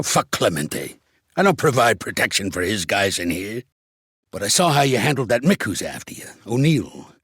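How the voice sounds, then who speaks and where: An actor voicing a shrewd, gruff old American mobster for a video game, streetwise and blunt, with a gravelly, husky voice. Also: male voice, medium pitch, a bit bright, dry recording, studio quality, exaggerated voice. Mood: gruff, appraising. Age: older. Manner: streetwise, commanding, blunt, slangy. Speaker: mobster mentor and boxing coach. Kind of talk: video game character acting.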